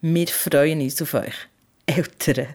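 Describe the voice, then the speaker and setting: A woman's voice: low voice, steady tone, takes it slowly, a little mellow, faint music behind. A woman with a Swiss German accent hosting a podcast about parenting in a candid, colloquial, conversational way.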